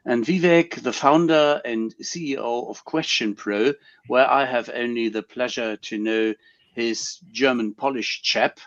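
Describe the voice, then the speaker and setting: This is a male show host with a mid-range voice, opening the episode with a formal welcome. He pauses often on ums and uhs. The sound is dull and lo-fi. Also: mid-range voice, fairly bright tone. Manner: formal welcome, hesitant, many fillers. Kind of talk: casual show introduction.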